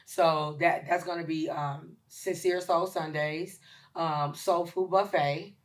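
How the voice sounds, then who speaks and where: A woman in her late forties, a chef and caterer talking as a guest in a casual podcast interview, emphatic and lively, with many ums. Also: deep voice, slow pace. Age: 40s. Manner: lively, emphatic, with shout-outs and filler ums.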